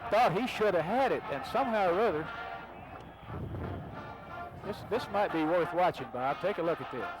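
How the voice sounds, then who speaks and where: A man giving calm, measured color commentary on a football radio broadcast. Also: high-pitched, over music. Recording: noisy stadium broadcast.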